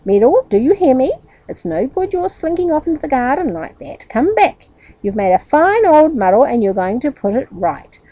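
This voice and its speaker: A woman reader plays an exasperated aunt from a children's storybook. She scolds firmly and emphatically in a husky voice, with animated, swinging pitch. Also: moderate pace, highs cut off. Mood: firm, scolding. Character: stern, exasperated aunt scolding her silly nephew.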